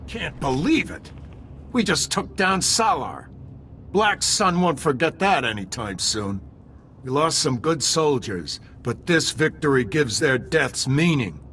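An actor playing an earnest Republic soldier in a video game, talking briskly and sincerely. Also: male voice, a little high, very animated, fairly bright tone, a little hiss or hum. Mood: elated, proud. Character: gritty, grateful Republic soldier leading troops. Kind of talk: video game cutscene acting.

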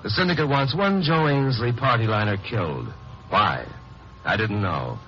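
An actor playing a steady, wry lawyer-sleuth hero in a 1950s radio crime drama. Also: male voice, lowish voice, moderate pace, lightly acted. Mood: dry narration. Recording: muffled, noisy old radio recording.

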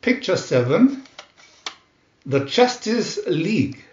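Man, slow deliberate announcer-style reading, dramatic, animated pitch, roomy echo. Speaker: booklet reader and channel host. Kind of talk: slow announcer-like scripted narration for children. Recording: roomy echo, dull lo-fi sound.

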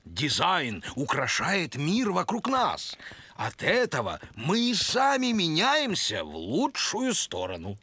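A man's voice: a little high, wildly swooping pitch, slow pace, pushed and bright, breathy edge, full character voice. A man voicing a genial, grandiloquent old bird in a cartoon. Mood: genial, lofty. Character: genial, high-flown old bird, fond of beauty. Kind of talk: cartoon voice acting.

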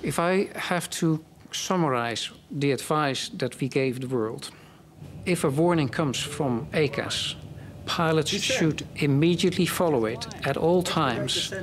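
An older man giving formal, measured interview answers as an official, choosing his phrases carefully. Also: a little high, crowd noise. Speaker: aviation official being interviewed. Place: large indoor council chamber.

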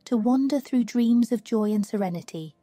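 Woman doing a sleep meditation, mellow kinda high voice, slow and hushed, music behind her. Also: no room sound. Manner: slow, soothing, hushed delivery. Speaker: meditation narrator. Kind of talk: scripted guided sleep meditation narration.

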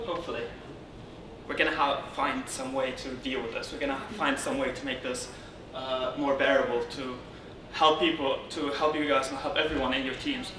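A man from Syria giving an informal technical conference talk as a speaker, often halting and rephrasing as he goes. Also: mid-range voice. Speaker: conference speaker, software consultant. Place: indoor darkened conference room, on stage beside a lectern. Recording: roomy, distant, noisy room sound.

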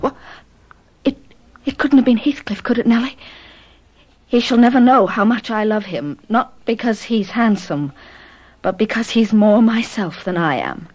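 An actress playing a headstrong, passionate young gentlewoman in a classic radio drama, earnest and theatrical. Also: on the high side, unhurried, long pauses. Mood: distraught.

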